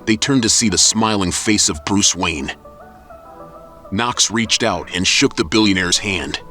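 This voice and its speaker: A man narrating an audio drama in a steady storytelling manner. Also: on the low side, unhurried. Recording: music bed under narration.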